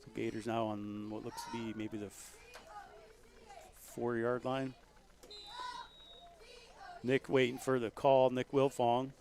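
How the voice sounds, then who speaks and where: A man calling a local high school football game play by play, folksy and rising to loud excitement on big plays. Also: slow, pauses often, a little hiss or hum. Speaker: play-by-play commentator.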